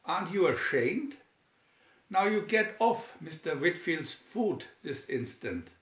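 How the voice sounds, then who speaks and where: A man's voice: on the high side, big pitch swings, slow, a bit bright, pitched above the performer's own voice, full character voice. A man voicing a sweet but scolding, protective little old lady in a storybook reading. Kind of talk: storybook read-aloud. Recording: dull, roomy home recording.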